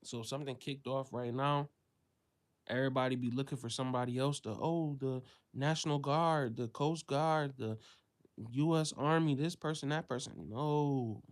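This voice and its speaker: A man delivering a profane, ranting monologue, dropping to a low mutter and then jumping to loud, high exclamations. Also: steady tone, normal speed. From United States. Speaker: commentator.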